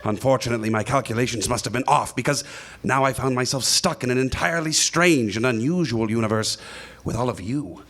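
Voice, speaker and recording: A man's voice: mid-range voice, fairly quick, fairly bright tone, a little hiss or hum, lightly acted. A man playing a polite, bewildered scientist who speaks formally and earnestly in a sci-fi comedy radio play.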